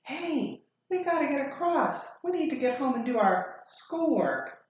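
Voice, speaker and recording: A woman reading a story aloud, voicing a menacing schoolboy bully with loud, taunting calls. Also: sits high, big pitch swings, measured pace, highs cut off, voice pushed up. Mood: menacing, insistent. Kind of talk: read-aloud story acting. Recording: very echoey room, faint noise.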